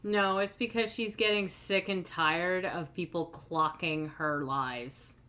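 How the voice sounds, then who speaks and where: A woman hosting a reaction video, giving blunt, sarcastic commentary while pausing clips. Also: lowish voice, bright, forceful tone, a little hiss or hum. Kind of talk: commentary over paused clips.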